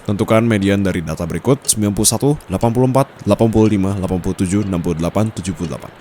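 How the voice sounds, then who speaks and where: A young man voicing a teasing private tutor who turns out to be dominant, in a soft, slow ASMR roleplay delivery close to the mic. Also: lowish voice, lightly acted. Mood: instructive. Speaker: voice actor. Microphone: close condenser mic. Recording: steady background noise with traffic.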